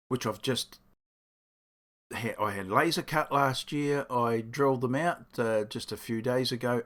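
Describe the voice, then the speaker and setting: Man, croaky voice, mid-range pitch, casual workshop talk, rambling, self-correcting. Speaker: woodworking channel host. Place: home workshop.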